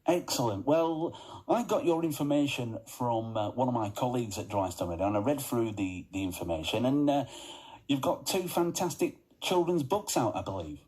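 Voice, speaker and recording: A man's voice: medium pitch, lively intonation, moderate pace, darkish tone, rough recording. Man hosting a local radio show. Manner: friendly, upbeat interviewer with hesitations. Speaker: radio presenter. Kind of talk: drive-time radio interview.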